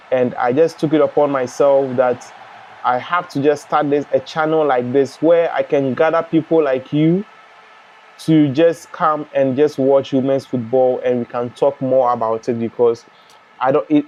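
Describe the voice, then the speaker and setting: A young man from Ghana hosting a live football watch-along, chatting and reading out viewer comments in a relaxed way. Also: mid-range voice, rough recording. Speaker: live stream host.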